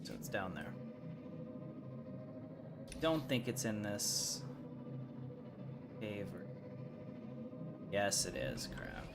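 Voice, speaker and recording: A man's voice: fairly low pitch, big pitch swings, very slow, long pauses. A man giving casual, informal gameplay commentary as a streamer, thinking aloud as he plays. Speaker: gaming streamer, channel host. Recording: loud music behind the voice.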